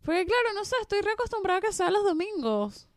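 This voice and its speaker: A woman with a Venezuelan Spanish accent, co-hosting a casual chat about TV series with an animated, laughing delivery. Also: extremely high pitch, quick pace, dry recording, clean studio sound. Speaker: podcast co-host.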